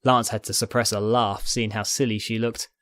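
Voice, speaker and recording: A man's voice: fairly low pitch, flat delivery, normal speed, a bit bright, no room sound. A man reading fiction aloud as narrator, in scripted dramatic storytelling style. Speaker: narrator, fan fiction reader.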